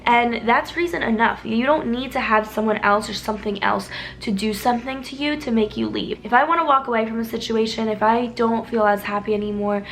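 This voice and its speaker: A woman's voice: fairly high pitch, fairly quick. A woman in her twenties chatting casually to a small vlog camera indoors, rambling with lots of likes and restarts. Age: 20s. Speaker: beauty vlogger. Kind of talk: casual talking-head vlog. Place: indoors, with street noise outside.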